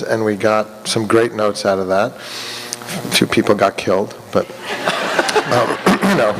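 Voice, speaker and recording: A man's voice: lowish voice, background noise. A man, a film director, speaking earnestly on a festival panel and slipping in dry jokes. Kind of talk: reflective panel talk. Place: panel stage in a large hall. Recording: reverberant hall.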